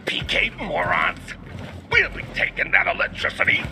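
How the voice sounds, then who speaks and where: A male actor playing a savage, taunting raider who jeers and yells in a video game. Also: low voice, wildly swooping pitch, normal speed, heavily acted. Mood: jeering. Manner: yelling, jeering. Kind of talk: video game cutscene dialogue. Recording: clear background vehicle noise.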